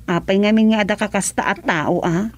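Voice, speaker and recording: A woman's voice: mid-range voice, steady tone, brisk, soft background music, lightly acted. A woman with an Ilocano Filipino accent playing a disapproving village gossip in a radio comedy-drama.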